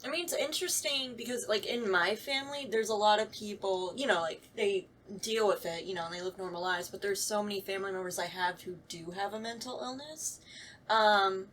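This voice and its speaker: A woman's voice: medium pitch, brisk, fairly bright tone. A woman talking casually and a little hesitantly as a voice actor, circling her way toward each point. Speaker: voice actor on a talkback show. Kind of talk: casual chat. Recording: distant mic with clear background noise.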